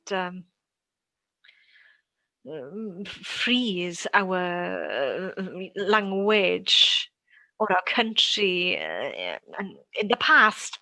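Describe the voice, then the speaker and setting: A woman from Wales giving a prepared conference talk as a panelist, measured and deliberate, pausing often. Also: mid-range voice, pushed and bright, dry recording, poor audio. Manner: measured and deliberate, with frequent pauses.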